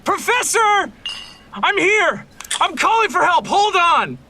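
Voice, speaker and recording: A man's voice: very high-pitched, very animated, takes it slowly, bright, forceful tone, a little hiss or hum, exaggerated voice. A man playing a frightened, deferential young student in a horror audio drama, with a nervous, hesitant way of talking. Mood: panicked.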